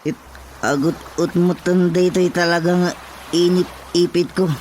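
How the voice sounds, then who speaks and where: Slow, sheepish Ilocano village councilman. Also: high-pitched, flat delivery, darkish tone, slight background noise, poor audio. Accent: Ilocano Filipino. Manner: halting, stammering. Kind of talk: Ilocano radio comedy drama acting.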